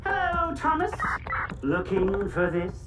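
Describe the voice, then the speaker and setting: Manic, wildly swooping hacker prankster. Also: male voice, high voice, big pitch swings, slow pace, far from the mic, over loud music, full character voice. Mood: mocking. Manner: theatrical, mocking, erratic delivery. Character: manic, vengeful male hacker prankster, taunting and foul-mouthed. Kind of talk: video game villain performance.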